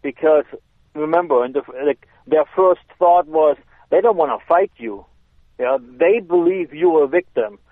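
Guest: German-accented man, fairly high voice, dry tinny phone sound, casual explainer. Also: measured pace, dry recording. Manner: relaxed explaining, hesitant restarts. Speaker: self-defense instructor guest. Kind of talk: casual chat.

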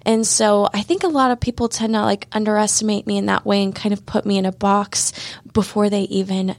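A woman with a light voice talks things through thoughtfully as a studio guest, filling her pauses with "like" and "um". Her voice sits at an ordinary pitch for a woman and is recorded dry and close. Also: little pitch movement, gravelly, no room sound. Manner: reflective, many fillers like "like" and "um". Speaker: dog trainer, studio guest. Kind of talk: casual chat.

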